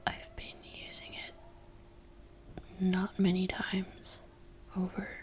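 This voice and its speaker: A woman's voice: lowish voice, monotone, takes it slowly. A woman in her twenties from France, whispering a rambling, self-deprecating chat for ASMR, into a desktop microphone in a lamp-lit room at home. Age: 20s. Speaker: ASMR creator. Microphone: desktop USB microphone.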